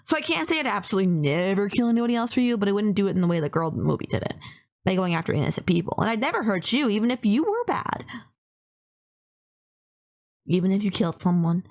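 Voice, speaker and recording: A woman's voice: medium pitch, big pitch swings, fast, darkish tone. Woman doing a lovestruck yandere girl, super polite and apologetic, rambles on earnestly, anime audio roleplay. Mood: earnest, insistent. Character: polite, gushing, obsessively lovestruck young female kidnapper. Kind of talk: intimate anime-style roleplay audio acting.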